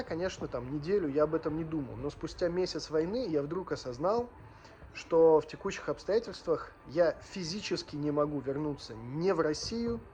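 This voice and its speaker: A man's voice: a little high, darkish tone. Earnest man over background noise. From Ukraine and Russia. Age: 30s. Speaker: vlogger. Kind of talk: personal storytelling to camera.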